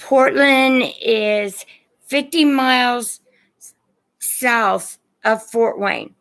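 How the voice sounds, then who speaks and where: Slow-talking Midwestern American woman, creaky voice. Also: a little high, fairly bright tone. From Indiana, United States. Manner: chatty, addresses viewers with endearments. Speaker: live online seller of vintage and antiques. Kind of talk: casual livestream sales chat.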